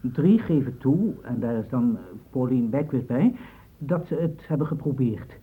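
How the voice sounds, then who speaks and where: An old radio play recording, narrow as a phone line, presents a precise male doctor. He reports in a husky, mid-pitched voice with a factual, dryly wry manner. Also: expressive, moderate pace. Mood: businesslike. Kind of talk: radio drama acting.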